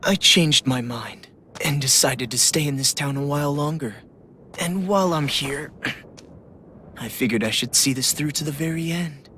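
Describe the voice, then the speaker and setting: A man's voice: fairly high pitch, normal speed, sharp tone, a little hiss or hum. An actor playing an eerily polite young man obsessed with hope, speaking in a theatrical, wistful way in a game cutscene. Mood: calm, detached. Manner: theatrical, wistful, formal wording. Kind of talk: video game cutscene acting.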